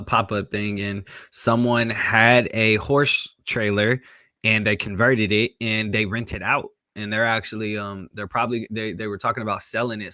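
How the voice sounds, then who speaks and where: A man's voice: on the low side, no echo. Laid-back young man hosting a podcast. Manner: relaxed, rambling with many fillers. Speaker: podcast host. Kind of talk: casual interview chat.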